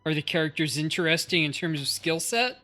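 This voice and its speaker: A livestreamer talks casually with viewers while playing a game. The voice is mid-pitched, and the manner is curious and inquisitive, like someone thinking out loud. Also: expressive, fairly quick, faint music behind.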